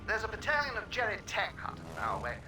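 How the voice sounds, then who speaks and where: An actor playing a polite, dutiful army officer in a war game, reporting in a clipped manner. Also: male voice, high-pitched, wildly swooping pitch, fast talker. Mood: urgent, polite. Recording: distant, echoey battlefield audio with music.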